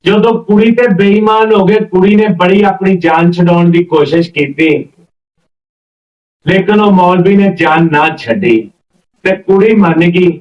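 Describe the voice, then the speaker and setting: High-pitched storyteller man, echoey. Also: measured pace. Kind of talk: informal spoken folk storytelling. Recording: roomy, distant, slightly dull mic.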